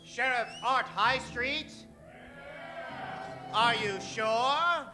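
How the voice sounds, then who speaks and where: A man hosting and announcing in theatrical old-time radio style, dramatic, drawn-out and emphatic. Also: very high voice, over music. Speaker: host and announcer.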